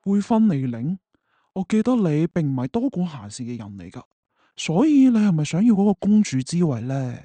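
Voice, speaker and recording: A smug villain king from a radio play, voiced by a man. He mocks and gloats in a mellow, dark tone that sits slightly high. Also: big pitch swings, moderate pace, no echo. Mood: taunting. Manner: mocking, theatrical. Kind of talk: Chinese radio drama acting.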